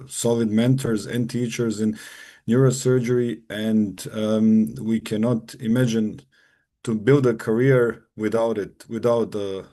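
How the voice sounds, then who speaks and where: A man from Bosnia, a neurosurgeon giving a formal academic lecture over a video call, measured and pausing often with "uh". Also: on the low side, warm tone. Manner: measured, formal, with frequent "uh" pauses. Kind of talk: academic presentation.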